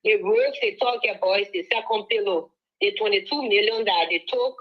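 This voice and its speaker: A Liberian woman calling in to a talk show, arguing politics with rising passion. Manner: impassioned, rising pitch when pressing points. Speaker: caller. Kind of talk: call-in political commentary. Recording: phone line audio.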